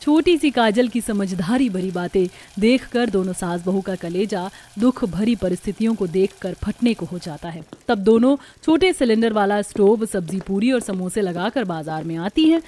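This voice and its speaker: A woman's voice: mid-range voice, animated, moderate pace, darkish tone. A woman delivering scripted narration for a Hindi moral story as its narrator. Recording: music behind the voice.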